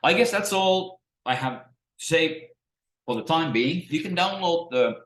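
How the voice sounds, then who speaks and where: A man's voice: a little high, expressive, a bit bright. Musing man, roomy echo. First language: Finnish. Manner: halting, musing, many restarts and trailing off. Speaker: spiritual teacher. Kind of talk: unscripted talk to camera. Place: small room at home, indoors.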